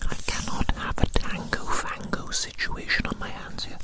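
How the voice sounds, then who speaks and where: Whispering man in a gentle ASMR roleplay. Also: moderate pace. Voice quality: unvoiced whisper. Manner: gentle, soothing, repeats words and phrases softly.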